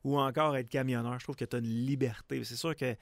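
A man's voice: mid-range voice, fairly quick, dry recording. A man in his forties with a Quebec French accent, co-hosting a radio show with casual, self-mocking banter. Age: 40s. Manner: joking, self-mocking, lively. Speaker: radio co-host.

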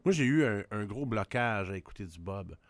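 A man in his fifties with a Québécois accent, reminiscing in a halting, reflective way during a casual podcast chat. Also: on the low side, animated. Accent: Québécois French. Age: 50s. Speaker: musician guest.

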